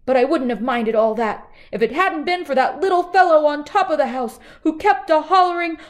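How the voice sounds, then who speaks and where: A woman's voice: sits high, animated, moderate pace, pitched above the performer's own voice. A woman doing a frightened robber man. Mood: frightened, urgent. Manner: breathless, urgent, rising. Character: frightened male robber telling his tale.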